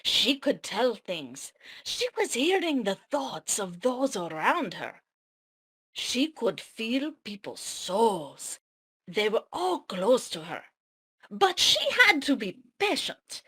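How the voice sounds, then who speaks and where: A woman playing a cryptic female warrior who tells fantasy lore gravely and deliberately in an amateur script read. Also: on the high side, very animated, bright, forceful tone, exaggerated voice. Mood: solemn.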